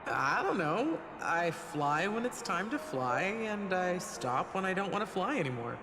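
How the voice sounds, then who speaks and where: A woman's voice: fairly low pitch, big pitch swings, measured pace, background noise. A woman playing an easygoing, plain-spoken adult female confidante in a video game, casual and matter-of-fact. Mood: casual, reassuring. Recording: long reverb, music under the voice. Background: background music.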